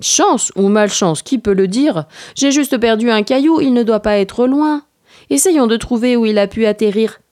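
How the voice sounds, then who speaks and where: Woman voicing a serene, slow-musing storybook bear. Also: a little high, darkish tone, close to an everyday voice. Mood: unworried. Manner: measured, drawn-out musing. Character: serene, philosophical male storybook bear, unshakeably calm.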